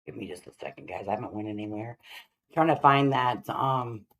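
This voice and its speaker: A woman with a distinctly low voice hosts a livestream. She talks casually to her viewers and gives her opinions with emphasis. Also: a little fast. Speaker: livestream host commenting on a court case.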